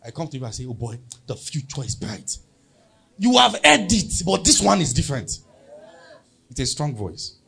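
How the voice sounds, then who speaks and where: A man's voice: mid-range voice, vocal fry, fairly bright tone, breathy edge. A man with a Nigerian accent preaching a sermon as pastor, emphatic and leading the congregation in call-and-response.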